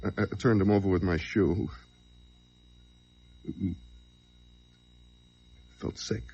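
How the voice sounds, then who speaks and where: Desperate fugitive on muffled old radio. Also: male voice, sits low, steady tone, slow pace, a little mellow, husky, full character voice. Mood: brooding, tense. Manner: brooding, haunted, swinging into overwrought outbursts. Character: desperate fugitive man who killed a truck driver. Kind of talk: old-time radio crime drama, first-person narration. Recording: dull, noisy old radio recording.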